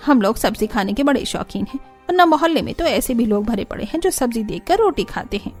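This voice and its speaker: A woman voicing a bossy, boastful middle-aged mother-in-law in a cartoon, brisk and commanding. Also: fairly high pitch, sing-song, darkish tone, faint music behind.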